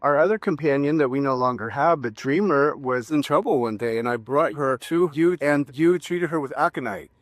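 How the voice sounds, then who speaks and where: A woman's voice: low voice, moderate pace, hardly any pauses, no room sound. Friendly woman field reporter, dry sound. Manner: grateful, friendly. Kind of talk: casual on-location interview.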